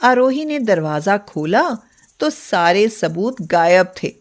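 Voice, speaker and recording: A woman's voice: medium pitch, very animated, slow. A woman narrating a scripted moral story as a storyteller.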